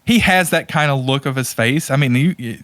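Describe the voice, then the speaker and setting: A man's voice: mid-range voice, moderate pace, fairly bright tone, no room sound. Dry-miked man in casual, blunt podcast chat. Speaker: podcast co-host.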